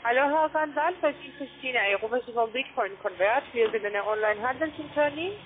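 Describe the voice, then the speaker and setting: A woman who is a non-native speaker delivers an insistent scripted sales pitch as a telephone account manager. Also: a little fast. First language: not German. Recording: tinny noisy phone line.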